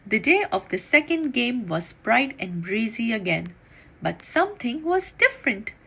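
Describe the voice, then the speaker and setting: A woman reading a children's story aloud in an animated, deliberate storytelling manner. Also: on the high side, vocal fry, husky. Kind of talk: expressive read-aloud storytime narration.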